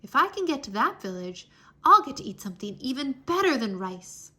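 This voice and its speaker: A woman's voice: high-pitched, very animated, brisk, pushed and bright, full character voice. A woman voicing a hungry, greedy male folktale trickster, flustered and impatient in a children's story. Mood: curious, greedy.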